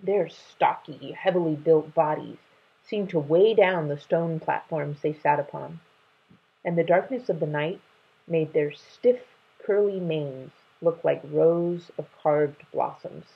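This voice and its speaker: A woman's voice: low-pitched, takes it slowly, creaky, husky. A young adult woman reads a story aloud expressively, recorded at home on a laptop mic. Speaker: storybook reader. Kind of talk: expressive read-aloud narration. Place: small room at home, indoors. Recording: dull, lo-fi webcam sound.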